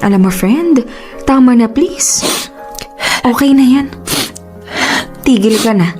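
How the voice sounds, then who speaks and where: Blunt, protective best friend. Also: female voice, on the high side, lively intonation, takes it slowly, dark tone, faint music behind. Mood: exasperated, suspicious. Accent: Filipino. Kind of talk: Filipino radio drama acting.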